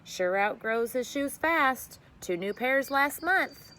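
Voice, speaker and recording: A woman's voice: high voice, lively intonation, slow, pushed and bright, pitched above the performer's own voice, heavily acted. A woman voicing a blunt, thrifty storybook father with a brusque, dismissive manner. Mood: pleased, practical.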